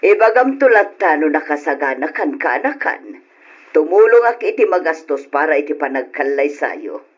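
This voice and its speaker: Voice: mid-range voice, sing-song, moderate pace, slightly rough. A performer playing a generous, insistent auntie in an old radio drama. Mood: eager, persuading. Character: generous, eager auntie urging a quick wedding. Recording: dull lo-fi old radio recording.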